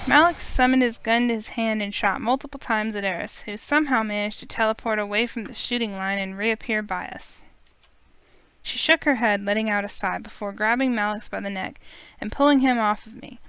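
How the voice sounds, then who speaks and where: A woman reading a visual novel aloud in steady first-person narration. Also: medium pitch, fairly quick, smooth. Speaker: let's play reader.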